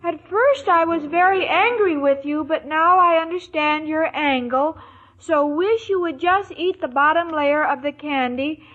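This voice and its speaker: A woman's voice: high voice, measured pace, a bit bright. A woman acting a naive, scatterbrained, lovestruck young woman in a 1940s radio sitcom. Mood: naive, lovesick. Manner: naive, earnest, muddles her words. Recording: dull old radio recording, studio audience.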